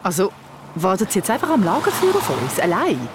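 A woman's voice: medium pitch, wildly swooping pitch, fairly quick, noisy background. An actress playing a skeptical, wary young woman with a questioning manner in an audio drama. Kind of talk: German-language audio drama acting.

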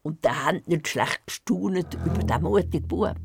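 A woman's voice: lowish voice, moderate pace, creaky, loud music behind the voice. A woman playing a spirited old Swiss village grandmother who tells tales in Glarus dialect, emphatic and teasing, in an audio play. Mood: vivid, excited. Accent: Swiss German, Glarus. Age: older. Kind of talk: animated dialect storytelling in a radio play.

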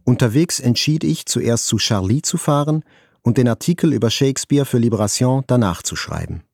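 An actor playing a journalist who relives a fateful morning, narrating a literary audio drama plainly and with restraint. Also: male voice, mid-range voice, animated, a little fast, gravelly, darkish tone, no room sound, clean studio sound, soft background music. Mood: matter-of-fact.

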